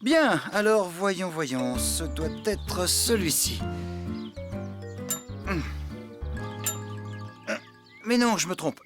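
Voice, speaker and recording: A good-natured, muddle-headed cartoon farm helper, chattering along in a children's show. Loud music plays behind. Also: medium pitch, big pitch swings, very slow, long pauses. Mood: busy, muddled.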